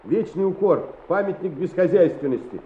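An actor playing an energetic, earnest teacher who leads schoolchildren in a radio play. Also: male voice, high voice, normal speed. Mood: earnest, determined. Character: energetic, encouraging male teacher leading schoolchildren's building work. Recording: muffled echoey radio recording with faint noise.

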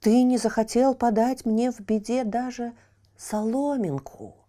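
A woman's voice: a little high, steady tone, unhurried, mellow, close to an everyday voice. Fire spirit, male, vengeful and reproachful, read by a woman. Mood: angry.

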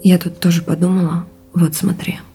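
Mellow, low-voiced supportive wife played by an actress. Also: female voice, little pitch movement, normal speed, soft background music, lightly acted. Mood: practical, reassuring. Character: supportive, practical, gently teasing wife. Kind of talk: intimate conversational audio drama.

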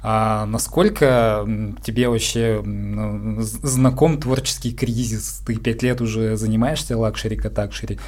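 A man's voice: on the low side, fairly even intonation, unhurried, few pauses, darkish tone. A man interviewing someone in a casual, conversational way, feeling out his questions informally as he speaks.

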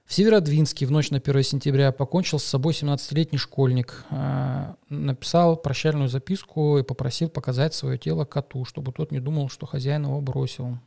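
A man in his thirties co-hosting a chatty podcast. His voice is dark and gravelly, and he speaks haltingly, filling pauses with "uh" and restarting his sentences as he reads out the news. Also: mid-range voice, steady tone. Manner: hesitant, many fillers and restarts. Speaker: podcast co-host, astrologer. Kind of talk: casual podcast chat and news reading.

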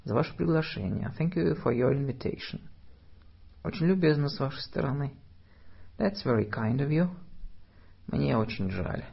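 A man slowly reading phrasebook sentences in Russian and English, one by one, for learners. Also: mid-range voice, animated, gravelly, husky, highs cut off. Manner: slow, deliberate phrase-by-phrase reading. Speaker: language teacher.

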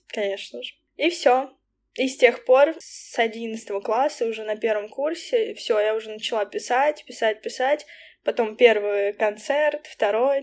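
Chatty young woman, lively storyteller. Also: on the high side. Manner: chatty, animated storytelling with quick asides. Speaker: poet and language teacher. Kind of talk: casual podcast conversation.

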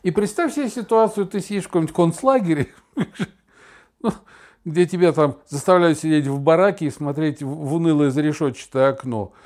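A man's voice: fairly high pitch, fairly quick, darkish tone. A middle-aged man chatting casually as a podcast co-host, telling stories in a sardonic, theatrical way and acting out imagined remarks. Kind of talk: casual chat, storytelling.

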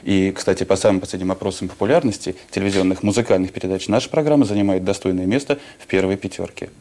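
A man's voice: deep voice, quick pace, mellow, a little hiss or hum. A man hosting a music television programme, addressing the viewers directly.

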